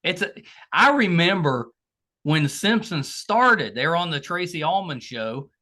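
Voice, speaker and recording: A man in middle age hosts a casual podcast chat. His voice is bright and forward, and his pitch moves around a lot. He is agreeable, often offering short affirmations. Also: lively intonation, unhurried. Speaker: podcast host.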